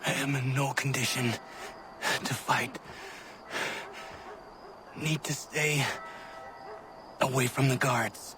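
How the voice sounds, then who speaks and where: Actor as a slow, strained, wounded young warrior. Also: male voice, mid-range voice, steady tone, background noise, lightly acted. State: strained, injured. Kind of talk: video game character muttering to himself. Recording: very loud music over voice.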